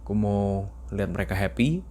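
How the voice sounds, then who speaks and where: A young man from East Java talks through his year in a relaxed, chatty video journal. His East Javanese accent colors a fairly low voice, and English phrases keep slipping into his casual talk. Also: normal speed, slight background noise. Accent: East Javanese Indonesian. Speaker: vlogger.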